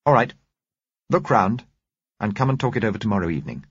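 A man voicing a stern, self-righteous woman community leader in an audiobook, with a sharp, clipped, sanctimonious manner. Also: lowish voice, big pitch swings, fairly quick, breathy edge, no room sound, dull audio, voice pushed up. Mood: curt.

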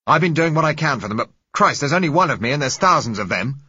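A fiery street agitator of about thirty, as a male narrator puts him on, loud and raised well above the reader's own low voice. His speech mixes the rough and the educated, harsh and emphatic. The audiobook recording is dry and a little dull. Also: lively intonation, quick pace, dry recording, exaggerated voice. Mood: angry. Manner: rough yet educated, shouting passionately.

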